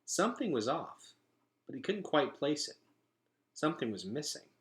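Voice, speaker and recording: A man reading a storybook aloud as narrator, in an expressive style. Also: fairly high pitch, moderate pace. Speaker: storybook reader.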